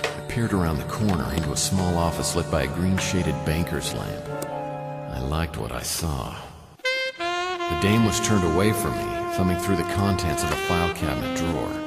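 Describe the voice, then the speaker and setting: Deep, echoing, world-weary noir detective. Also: male voice, moderate pace, creaky. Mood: wry, intent. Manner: deadpan, sardonic. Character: wry, world-weary hardboiled male private detective. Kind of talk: noir radio drama narration and dialogue. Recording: roomy long reverb under loud music.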